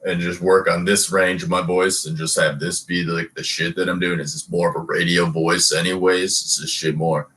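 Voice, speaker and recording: A young man with a very low, deep voice talks laid-back and profane with a friend about rap ideas. He sounds a little distant from the mic. His tone is playful and easygoing. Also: flat delivery, normal speed, hardly any pauses, fairly bright tone. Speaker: rapper. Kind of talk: casual chat and hype-man ad-libs. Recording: heard from off the mic.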